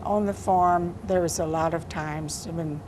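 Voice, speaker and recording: An older woman poet answering interview questions in a soft-spoken, unhurried, plainspoken way. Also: on the low side, fairly even intonation. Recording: noisy background.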